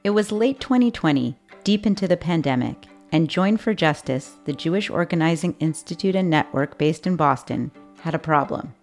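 Low voiced lady host reading her intro, calm and measured, bit of soft music behind. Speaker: podcast host. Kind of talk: scripted narration and interviewing.

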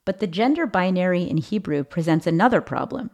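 A woman hosting a podcast, giving a clear scripted narration with light rhetorical questions. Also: fairly low pitch, lively intonation, fairly quick, a little mellow. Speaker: podcast host.

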